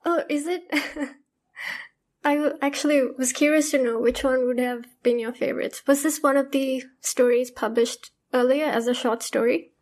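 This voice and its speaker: A woman's voice: high voice, steady tone, darkish tone. A woman from Sri Lanka hosts the podcast, asking long, composed questions she has prepared in advance. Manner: measured and composed, delivering long framed questions. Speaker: podcast host and interviewer.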